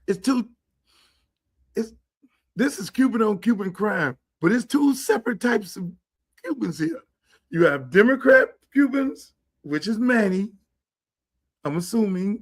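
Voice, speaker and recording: A man hosting a livestream show. He rants in a blunt, profane, slang-heavy way and keeps slipping into quick impressions of other people. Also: sits high, fairly bright tone. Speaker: show host. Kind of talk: casual livestream rant. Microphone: streaming setup.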